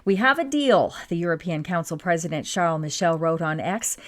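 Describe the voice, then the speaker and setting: A woman with a Canadian accent reading a national newscast in a steady, measured way. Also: fairly low pitch. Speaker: newsreader. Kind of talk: scripted news reading.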